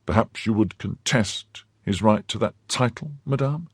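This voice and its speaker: Guy doing a puffed-up greedy fifty year old merchant, deep husky voice, dry close mic. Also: expressive, unhurried, no room sound. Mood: pompous. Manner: self-important, blustering.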